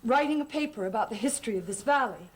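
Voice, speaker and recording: A woman's voice: on the high side, expressive, fast talker, close to an everyday voice, poor audio. An actress playing an anxious, bewildered, studious woman in a gothic soap opera, with a pleading, plaintive manner.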